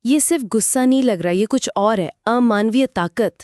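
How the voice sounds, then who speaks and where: A woman co-hosting a horror story show, giving conversational commentary with expressive questions and reflective remarks. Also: on the high side, normal speed, no room sound, studio quality. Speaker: co-host reacting to stories.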